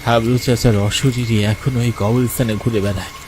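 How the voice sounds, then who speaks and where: A man's voice: medium pitch, steady tone, moderate pace, noisy background. A man narrating a first-person ghost story for a cartoon in a dramatic way. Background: music under the voice.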